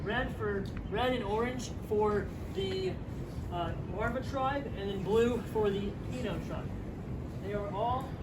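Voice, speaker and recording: A man with a high, light voice explains the rules of a backyard challenge, stopping often to find his words. He sounds far from the mic, and loud outdoor noise runs under him. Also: expressive, echoey room. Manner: halting, fills pauses with uh. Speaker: challenge host. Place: outdoor front yard.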